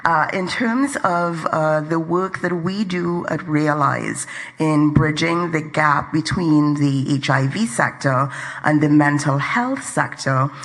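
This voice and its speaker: A woman's voice: deep voice, a bit bright, rough recording. Trinidadian woman speaking at a floor mic. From Trinidad and Tobago. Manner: polite, articulate and measured. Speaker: audience member at a conference. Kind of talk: formal comment at a microphone. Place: large conference hall. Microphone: floor microphone.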